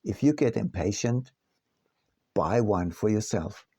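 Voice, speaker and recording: A man narrating his own audiobook from a script in a deliberate, emphatic manner. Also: fairly low pitch, lively intonation, warm tone, husky, dry recording, studio quality. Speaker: author reading own book.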